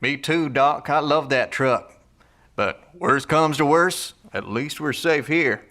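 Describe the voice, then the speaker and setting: Audio drama role: blunt, easygoing survivor, high bright voice, plain-spoken. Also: male voice, takes it slowly, heavily acted. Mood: plain, frank. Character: laid-back, down-to-earth male truck-owning survivor, blunt.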